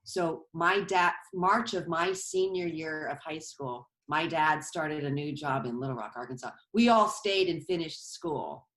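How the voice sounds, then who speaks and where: Low-voiced chatty woman on a video call. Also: unhurried, few pauses, a bit bright, poor audio. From Colorado, USA. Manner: chatty, rambling storyteller with frequent restarts. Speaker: guest, stage actress. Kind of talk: casual interview chat. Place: bedroom at home.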